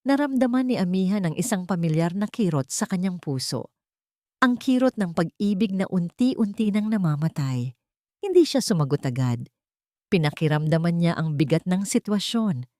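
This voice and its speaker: A woman's voice: on the low side, animated, normal speed, no room sound, studio quality. A woman with a Filipino accent reading scripted, dramatic narration of a romance story.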